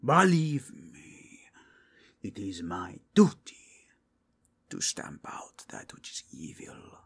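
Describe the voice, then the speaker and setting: Guy doing a zealous werewolf inquisitor for asmr, husky n breathy, super polite, odd spanish-ish foreign accent, pitch swoops around. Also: huge pitch swings, a bit bright, full character voice. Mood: solemn, intense. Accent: mixed foreign with Spanish touches. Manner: formal, courteous, deliberate, intense. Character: zealous, courtly werewolf inquisitor who sniffs out evil. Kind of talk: ASMR roleplay, breathy and half-whispered.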